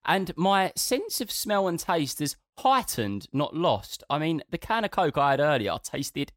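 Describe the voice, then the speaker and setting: A man co-hosting a podcast from home, chatting casually in an animated, rambling way while sounding a little congested. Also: fairly high pitch, measured pace, no echo, clean studio sound. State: congested nose from illness. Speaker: podcast co-host. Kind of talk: casual chat.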